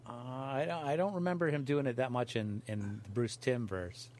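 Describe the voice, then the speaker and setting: A man co-hosting a podcast in casual comedic chat, halting and jokey, on a noisy recording. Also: medium pitch, a little mellow.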